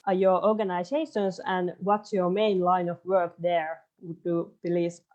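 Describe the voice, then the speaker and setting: A woman's voice: lowish voice, little pitch movement, unhurried, a little mellow. A young woman in her twenties moderating a panel on a video call, polite and a little hesitant. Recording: dull, muffled call audio.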